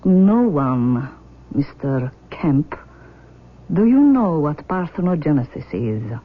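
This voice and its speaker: An actress playing an intense, imperious forty-year-old Russian mother in a 1970s radio drama, speaking in emphatic, deliberate declarations. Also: sits low, big pitch swings, exaggerated voice. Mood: proud, firm. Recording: muffled vintage radio broadcast recording.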